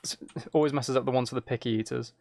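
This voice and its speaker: Male game streamer, gravelly medium-pitched voice, low-key casual chat, close mic with keyboard clicks. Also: fairly even intonation, fast, a little mellow, dry recording. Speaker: streamer playing a game while chatting with viewers.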